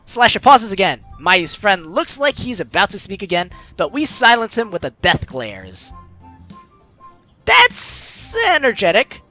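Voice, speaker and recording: A playful, slangy gaming host reading a visual novel aloud and reacting in an animated way. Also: mid-range voice, a little slow. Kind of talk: lively let's play, reading aloud and reacting. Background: game music.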